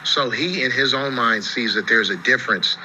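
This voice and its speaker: A man's voice: medium pitch, normal speed, pushed and bright, a little hiss or hum, rough recording. An American man giving conversational legal commentary as an attorney, explaining things with frequent asides of "you know".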